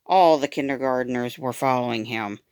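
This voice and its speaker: A woman reading a children's book aloud in an expressive, dramatic storytime style. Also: very deep voice, moderate pace, clean tone, no echo. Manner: animated, dramatic. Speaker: storytime reader.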